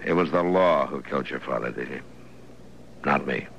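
A very deep, bright-edged male voice as a stern American lawman in a radio western. He speaks in measured, laconic phrases, firm but regretful. The old broadcast has some hiss and noise. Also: gravelly, highs cut off, heavily acted. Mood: regretful, steady. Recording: noisy vintage radio recording.

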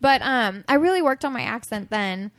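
Co-host, woman from Kentucky, earnest and giggly, lively pitch, dry close mic. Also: moderate pace, no room sound. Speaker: podcast co-host. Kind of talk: casual chat.

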